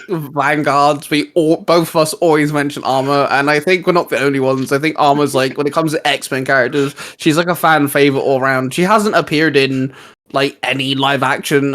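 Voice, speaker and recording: A man's voice: medium pitch, a bit bright. A man hosting a fan discussion show, presenting and chatting in a casual way.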